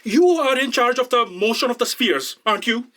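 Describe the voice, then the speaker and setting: A pert, forthright female office aide, played by an actress in a comic radio play. Her pitch moves around a great deal as she speaks up. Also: expressive, normal speed, a bit bright. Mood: pointed. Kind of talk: comic audio drama acting.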